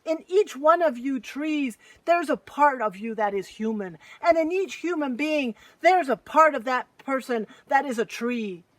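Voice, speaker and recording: A woman's voice: expressive, a little slow, few pauses, no room sound, distant mic. A woman voicing a furious scolding mountain spirit. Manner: booming, scolding. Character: angry ancient male mountain spirit.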